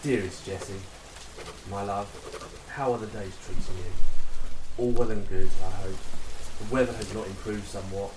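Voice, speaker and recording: A man's voice: fairly low pitch, fairly even intonation, moderate pace, pauses often, natural voice. An actor playing a weary, sceptical soldier in a wartime radio play, who is devoted to his sweetheart. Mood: tender. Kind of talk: period radio drama acting. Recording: roomy, distant, noisy with rain-like hiss.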